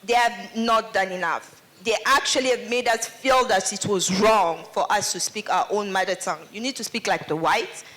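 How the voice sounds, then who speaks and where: A woman's voice: mid-range voice, normal speed, a bit bright. A woman panelist, speaking English earnestly and outspokenly. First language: Lingala. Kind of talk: panel discussion comment.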